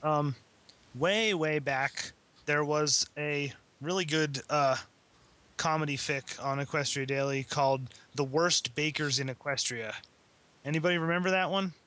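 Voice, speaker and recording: A man chatting casually on a podcast, retelling things in a hesitant, halting way. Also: on the high side, pushed and bright. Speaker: podcast panelist. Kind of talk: casual chat, retelling a story.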